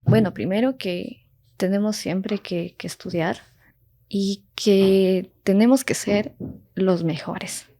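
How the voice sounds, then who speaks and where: A woman's voice: mid-range voice, steady tone, takes it slowly, dark tone. Chatty young woman in an interview. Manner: chatty, heartfelt, many fillers. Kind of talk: casual interview talk.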